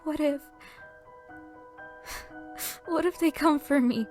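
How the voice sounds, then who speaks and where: A woman playing a somber young village woman haunted by a massacre, in an earnest, halting audio drama delivery. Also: sits high, darkish tone. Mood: tearful, anguished. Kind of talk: dramatic audio roleplay acting. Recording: music bed under the voice.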